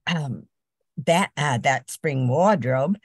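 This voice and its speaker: A woman's voice: sits low, animated, slow, husky, no echo, studio quality, exaggerated voice. A woman playing a genteel, fashion-minded Southern housewife in an amateur old-time radio commercial. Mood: folksy, coy.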